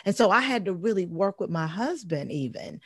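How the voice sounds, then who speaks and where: A woman in her forties with a heavy, deep voice, telling stories in an emphatic, animated way as a guest in a casual interview. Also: brisk, husky, no room sound, studio quality. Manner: emphatic, animated storytelling with repeated phrases. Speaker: guest speaker and life coach.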